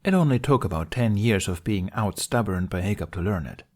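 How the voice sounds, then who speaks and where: A man's voice: lowish voice, expressive, brisk, a little mellow. A man reading scripted fan fiction aloud as an amateur story narrator.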